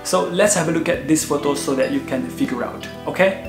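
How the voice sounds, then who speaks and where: A man's voice: a little high, a little fast, echoey room, over loud music. A man in his thirties from Indonesia, explaining to camera on a sofa at home in an earnest manner, with frequent "uh" fillers. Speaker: vlogger. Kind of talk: explanatory video talk to camera. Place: living room with a sofa, indoors.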